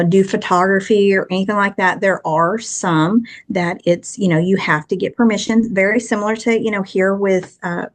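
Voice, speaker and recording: A woman in her fifties from Texas with a thick Texan accent, chatting earnestly at length as a guest in an interview. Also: mid-range voice. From Texas, USA. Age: 50s. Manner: earnest and chatty, long rambling answers. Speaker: guest author. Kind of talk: casual interview chat.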